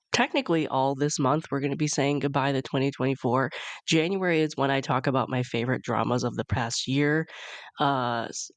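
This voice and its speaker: A woman's voice: extremely low pitch, dry recording. A young woman hosting a casual solo podcast, chatty and upbeat, sounding congested from a cold. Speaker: podcast host.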